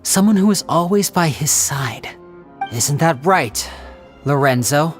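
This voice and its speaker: A clever young magician from a video game, speaking in a fairly low register. The delivery is poised, articulate and theatrical, with faint music underneath. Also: female voice, expressive, slow. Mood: composed. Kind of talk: scripted video game voice acting.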